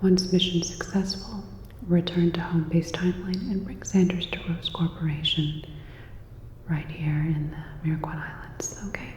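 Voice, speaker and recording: A woman whispering a scripted sci-fi ASMR roleplay as a calm, clinical robot repair technician, soft, breathy and gently instructive. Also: on the low side, moderate pace, echoey room, in a large hall, dull audio, slight background noise.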